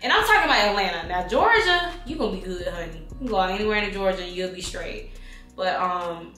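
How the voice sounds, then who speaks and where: A woman in her thirties talks straight to her viewers in a relaxed, slangy way, calling them honey and baby. Her voice is bright and forward. The room echoes around her, with faint music underneath. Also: medium pitch, fairly quick. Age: 30s. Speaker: vlogger answering viewer questions. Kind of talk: casual Q&A vlog.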